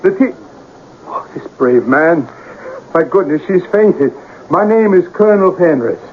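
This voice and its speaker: A man's voice: fairly high pitch, animated, unhurried, narrow, tinny audio. An actor in a 1970s radio drama playing a wealthy colonel and father, effusive and commanding. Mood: grateful, flustered. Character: grateful, blustering millionaire colonel, a father. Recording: thin, noisy old radio broadcast recording.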